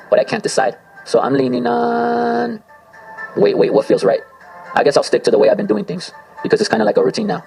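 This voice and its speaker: A man's voice: sits high, vocal fry, darkish tone, poor audio. A man whose first language is Spanish, freestyling an abstract spoken word monologue, rambling and talking to himself. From Spanish-speaking home. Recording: faint music behind voice. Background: faint circus music.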